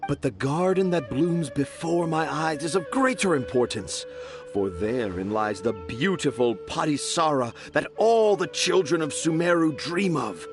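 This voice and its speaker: An actor playing a theatrical, poetic children's author who speaks in grand flowery phrases. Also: male voice, on the high side, expressive, a little slow. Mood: grandiose. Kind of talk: video game voice acting. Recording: game dialogue with soft music.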